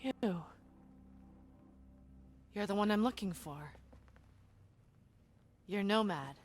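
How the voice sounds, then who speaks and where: A woman's voice: mid-range voice, animated, slow pace, slight background noise. A woman playing a driven resistance fighter from the future in a game cutscene, intense, solemn and insistent. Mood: grave, intent. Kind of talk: video game cutscene acting. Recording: loud music behind the voice. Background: loud background music.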